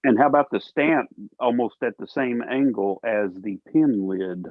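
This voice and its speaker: A man's voice: medium pitch, moderate pace, dry recording. Laid-back co-host man, short replies, dry phone-like sound. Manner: brief, easygoing replies and laughs. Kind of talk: casual livestream chat. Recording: tinny dry phone-like line.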